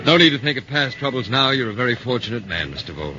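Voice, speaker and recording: An actor playing a forceful, dignified defence lawyer in 1940s radio drama, oratorical, insistent and formal. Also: male voice, medium pitch, big pitch swings, quick pace, music in the background, lightly acted. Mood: calm, reassuring. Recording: dull 1940s radio recording.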